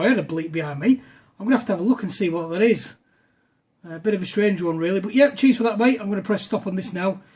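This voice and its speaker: High-pitched man in casual radio chat. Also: darkish tone. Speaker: amateur radio operator filming a demo video.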